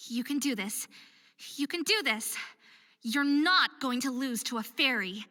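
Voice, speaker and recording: A woman's voice: high-pitched, expressive, unhurried, pushed and bright, exaggerated voice. A woman voicing an anxious but determined young female figure skater in a visual novel game, given to self-doubt and pep talks. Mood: self-encouraging.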